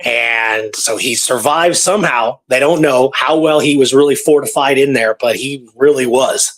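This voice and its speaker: A man hosting a talk show, retelling a true story in a casual, animated way with repeated phrases and pauses for drama. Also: medium pitch. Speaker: podcast host telling a true historical story.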